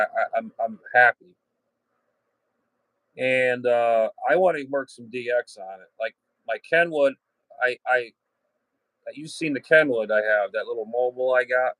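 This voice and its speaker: A man's voice: medium pitch, a bit bright, dry recording, low-quality recording. Rambling, plain-spoken man with a dry, close sound. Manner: plain-spoken, salty cursing, trailing off and circling back. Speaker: amateur radio hobbyist and channel host. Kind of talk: casual rambling chat.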